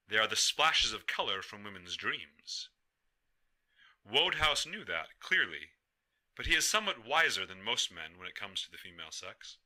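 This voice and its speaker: A man telling a folktale in a measured, emphatic storyteller's delivery. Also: lowish voice, bright, forceful tone, poor audio. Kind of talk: dramatic folktale narration.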